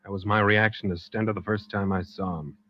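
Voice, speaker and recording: An actor playing a tough, wry American war-veteran pilot in an old-time radio drama, speaking in a clipped, laconic way. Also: male voice, fairly low pitch, fairly even intonation, fairly quick, lightly acted. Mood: reflective narration. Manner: clipped, laconic, hard-boiled. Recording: dull, noisy old radio show recording.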